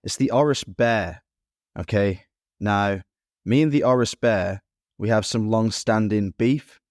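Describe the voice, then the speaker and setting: A man's voice: on the low side, unhurried, no room sound, studio quality. A man co-hosting a podcast, asking questions in a joking, playfully dramatic way. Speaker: podcast co-host. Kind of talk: casual interview hosting.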